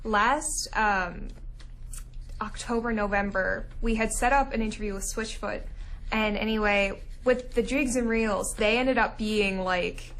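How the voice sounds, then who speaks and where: A woman's voice: medium pitch, a little slow, a bit bright, rough recording. Young woman telling a rambling story. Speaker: campus radio show co-host. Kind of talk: casual interview chat.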